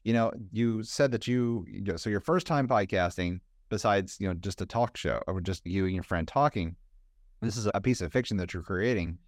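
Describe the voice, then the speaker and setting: A man's voice: lowish voice, moderate pace, no room sound, studio quality. A man hosting a podcast, asking questions in a casual, conversational way. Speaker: podcast interviewer, host.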